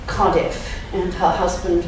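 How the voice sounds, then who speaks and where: An older woman from England giving a lecture in a large church, speaking thoughtfully and pausing often with ums. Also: fairly low pitch, level tone. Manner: slow, thoughtful delivery with frequent ums. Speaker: guest lecturer. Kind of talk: church lunchtime lecture, reading quotations aloud. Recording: very echoey church, speaker heard from a distance, faint background noise.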